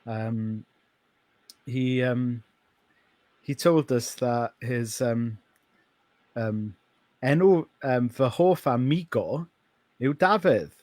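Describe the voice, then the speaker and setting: North Welsh pastor, thirties, Welsh native speaker; unhurried, hesitant delivery, dry close sound. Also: male voice, medium pitch, a little mellow, no room sound. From Wales. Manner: unhurried, hesitant with many ums. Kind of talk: podcast interview.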